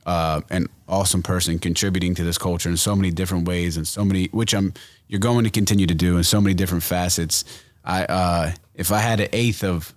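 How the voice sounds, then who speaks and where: A man hosting a casual podcast interview, animated and slangy, swearing and saying "yo" a lot. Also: deep voice, dry recording. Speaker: podcast host.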